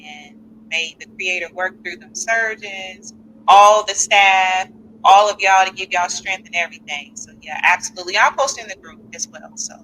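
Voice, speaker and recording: A woman hosting a live call-in podcast, chatty and animated, with speech and reading out listener comments. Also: mid-range voice, moderate pace, slight background noise, low-quality recording. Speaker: podcast host.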